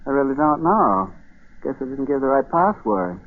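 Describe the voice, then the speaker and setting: Casting: naive, stubborn colonial farmer, folksy and halting, mid-range voice, muffled vintage radio play recording. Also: male voice, mid-range voice, sing-song. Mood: naive, puzzled. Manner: hesitant, folksy, hemming and hawing. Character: plain-spoken, stubborn, naive colonial country farmer, a man. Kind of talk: 1940s radio drama acting. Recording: muffled narrow-band 1940s radio broadcast.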